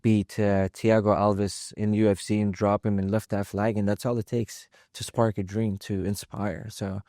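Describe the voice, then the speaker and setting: Man, 20s, from Afghanistan, calm, reflective, flat, dry studio sound. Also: on the low side, dry recording. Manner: calm, reflective, halting with many fillers. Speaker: mixed martial arts fighter, guest. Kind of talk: casual interview talk. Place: podcast studio.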